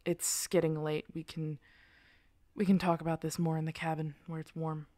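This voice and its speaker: A woman playing a guarded, protective lone werewolf in an intimate ASMR audio roleplay, earnest and halting. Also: deep voice, flat delivery, mellow, no room sound, lightly acted. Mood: soft, worried. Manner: earnest, halting, weary sighs.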